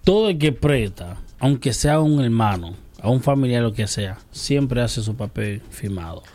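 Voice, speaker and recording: A man with a lively, swooping voice who co-hosts an interview. He questions and challenges the guest directly. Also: medium pitch, unhurried. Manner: addresses the guest as "maestro", challenging.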